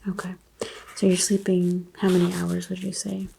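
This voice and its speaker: A soft-spoken woman doing an ASMR doctor roleplay, asking unhurried exam questions and slipping into whispers. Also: on the low side, monotone, gravelly, very noisy.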